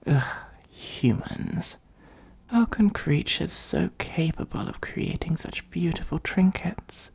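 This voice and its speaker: A performer of unclear sex voicing an ancient, haughty dragon in a comedic fantasy ASMR roleplay, with a breathy, husky, gravelly voice and a sardonic, condescending, musing manner. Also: medium pitch, a little mellow, exaggerated voice. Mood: weary, condescending. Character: ancient gold-hoarding dragon, haughty, dryly exasperated.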